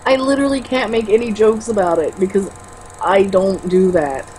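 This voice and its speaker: A woman with a medium-pitched voice reads a book aloud in a casual way, slipping into mocking asides. She sounds sarcastic and exasperated and swears freely. There is noticeable noise behind her. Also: fairly even intonation, normal speed, dark tone. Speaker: co-host reading a novel aloud with mocking commentary. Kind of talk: read-aloud mixed with casual banter.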